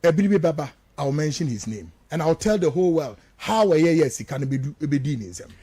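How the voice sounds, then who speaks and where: A man's voice: a little high, animated, fast, mellow, husky, dry recording. A man with a Ghanaian accent giving impassioned, accusatory radio commentary, repeating phrases for stress and switching between two languages. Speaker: political commentator on a radio show.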